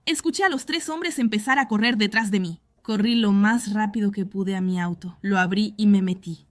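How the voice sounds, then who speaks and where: A woman reading first-person horror stories in an even, measured storytelling voice. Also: a little high, no room sound. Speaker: narrator. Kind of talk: scripted horror story narration.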